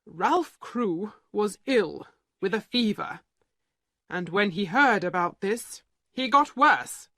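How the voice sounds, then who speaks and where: A woman voicing a calm, blunt male lawyer who speaks in a flat, matter-of-fact way. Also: medium pitch, slow pace, no echo, studio quality, exaggerated voice. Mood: grave.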